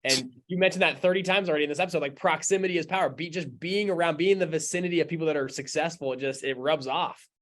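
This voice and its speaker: A male interview host with a fairly high voice who talks very fast. He sounds eager and enthusiastic, with his words full of fillers. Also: a bit bright. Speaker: podcast host, entrepreneur. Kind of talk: casual interview talk.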